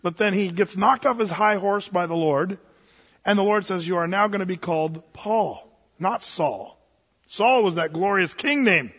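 Pastor guy preaching, kinda high voice, chatty and jokey, long church reverb, dull sound. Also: normal speed, a bit bright, husky. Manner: conversational, joking asides, acts out quoted voices. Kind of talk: sermon.

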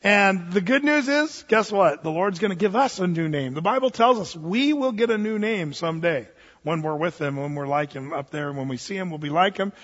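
Male pastor, high-ish voice, conversational sermon with playful asides; muffled sound in a reverberant sanctuary. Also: lively intonation, normal speed, a bit bright. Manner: conversational, joking asides, acts out quoted voices. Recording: dull sound with long hall reverb.

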